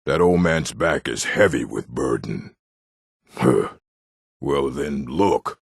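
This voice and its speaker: An actor, a man, playing a surly, domineering orc with a gravelly, raspy voice and brusque delivery in a video game. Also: extremely low pitch, takes it slowly, dry recording, clean studio sound, heavily acted. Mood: stern, grudging, then gruff, impatient. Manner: curt, brusque. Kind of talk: video game character acting.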